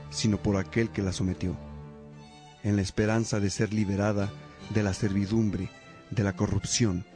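A man playing a devout monk in a radio drama, praying and reading scripture in a solemn, measured way. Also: fairly low pitch, lightly acted. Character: devout monk, friendly brother in community.

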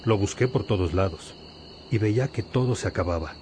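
A man's voice: lowish voice, brisk, a little mellow, slight background noise, natural voice. An actor playing a wise, patient older priest who teaches his disciples reflectively in a religious radio drama. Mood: teaching. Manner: reflective, didactic.

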